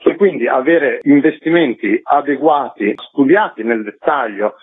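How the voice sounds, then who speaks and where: A man's voice: medium pitch, measured pace, slightly rough. A man speaking as an activist guest in a radio interview, explaining his case earnestly in repeated, list-like phrases. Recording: muffled narrow phone line on radio.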